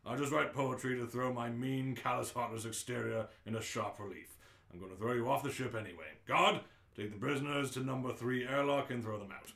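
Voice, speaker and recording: A man voicing a mean, callous alien spaceship captain with a deliberate, theatrical delivery in a radio play. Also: medium pitch, vocal fry, fairly bright tone, echoey room. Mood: scornful, commanding. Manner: slow, deliberate, menacing delivery with theatrical pauses.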